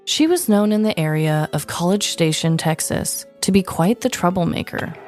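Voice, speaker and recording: A woman co-hosting a podcast with an expressive, dramatic way of talking, both bantering and telling stories. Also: lowish voice, moderate pace, soft background music. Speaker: podcast co-host. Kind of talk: casual banter and scripted comic storytelling.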